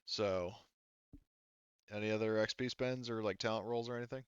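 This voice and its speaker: Deep-voiced man game master, muffled recording. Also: steady tone, clear, resonant tone, no room sound. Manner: halting, self-correcting explanations. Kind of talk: casual tabletop gaming chat.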